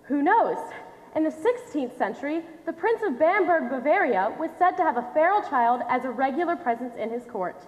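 A young woman playing an earnest scientist in a stage play, giving a formal presentation with projected, deliberate delivery. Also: sits high, animated. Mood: measured, explanatory. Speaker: stage actor in a high school one-act play. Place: on stage in a large dark auditorium. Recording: echoey theater hall, long reverb.

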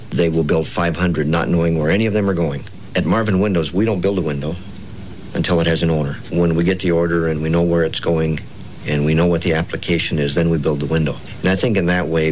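A middle-aged man giving a plain-spoken testimonial as a company employee. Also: rumbling low. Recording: noisy ambient testimonial recording.

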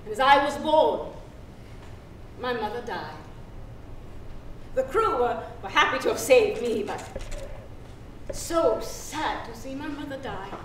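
A middle-aged woman playing a free young woman who solemnly recounts her birth at sea in a measured, halting stage monologue. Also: fairly high pitch, lively intonation. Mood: grave, sorrowful. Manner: measured, halting, deliberate storytelling. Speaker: actress. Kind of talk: dramatic stage reading, solo storytelling monologue. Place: theatre stage. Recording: roomy stage pickup, distant, hissy ambience.

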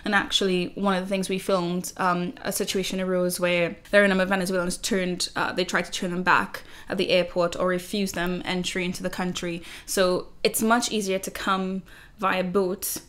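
A woman from Trinidad, a journalist in her thirties, explaining her research in an interview in earnest, measured sentences. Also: on the low side, level tone, fairly bright tone. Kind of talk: interview guest, conversational.

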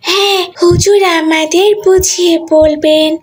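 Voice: very high-pitched, fairly even intonation, unhurried. A performer voicing a sweet, curious little girl in a cartoon, pleading and inquisitive. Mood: eager.

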